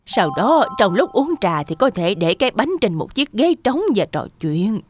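A woman playing a kindly, excitable old grandmother in a children's cartoon, speaking expressively. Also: mid-range voice, moderate pace, a little hiss or hum, close to an everyday voice. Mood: thoughtful. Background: soft children's music.

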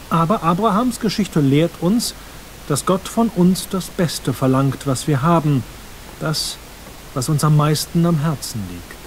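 An actor playing a calm, devout prior, speaking in a measured and solemn way. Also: male voice, on the high side, slight background noise, low-quality recording. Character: calm, devout male prior, middle-aged. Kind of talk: game voice acting.

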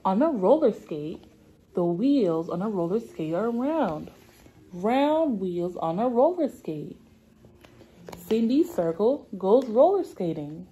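Woman, young adult, preschool read-aloud, unhurried, slightly husky, simple repetitive phrasing. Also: mid-range voice, sing-song, warm tone, rough recording. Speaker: preschool teacher. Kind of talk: children's read-aloud lesson. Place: indoor classroom.

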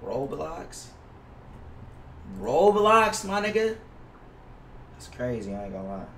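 A man's voice: fairly high pitch, very animated, slow, gravelly, a bit bright. Young American man streaming, roomy sound. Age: young adult. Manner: slangy, profane, complaining. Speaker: livestreamer playing a basketball video game. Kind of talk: casual livestream chat with viewers. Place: indoors at a gaming setup. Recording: roomy, distant mic with faint noise.

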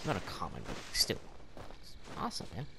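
A man giving casual gameplay commentary in short, laid-back muttered remarks, on a noisy recording. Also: measured pace. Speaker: gamer, video creator. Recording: very noisy, game sounds behind.

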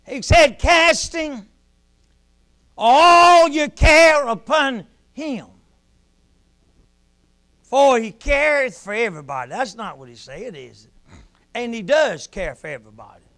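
Middle-aged male pulpit preacher, very high and bright, slow and deliberate, alternates shouting and hushed delivery. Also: animated. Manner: preaching in rising shouts, then dropping to a hush. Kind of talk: sermon. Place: small church sanctuary, at a pulpit.

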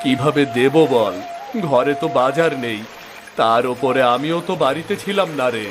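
A man voicing a poor, worried village woodcutter and gentle father in a cartoon, with a plaintive manner. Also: mid-range voice, normal speed, lightly acted. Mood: gentle, soothing. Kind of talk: Bengali cartoon voice acting. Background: music.

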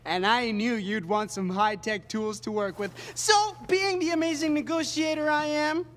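A scheming young man in a video game. He is cocky and excitable, boasting one moment and wheedling the next in a whiny way. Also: extremely high pitch, normal speed. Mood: boastful.

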